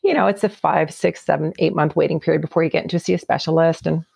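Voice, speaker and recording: A woman from Canada speaks with a gravelly, creaky texture, her voice sitting a little low. She tells her story earnestly and from the heart, sometimes doubling back on her words. The sound is close and dry, with no room around it. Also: fairly even intonation, quick pace, a little mellow, dry recording. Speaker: guest. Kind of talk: reflective personal storytelling.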